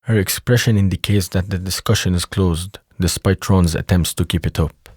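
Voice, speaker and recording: A man's voice: low-pitched, fairly even intonation, moderate pace, darkish tone, slightly rough, no room sound, studio quality. A man reading scripted narration for an audio drama as its narrator.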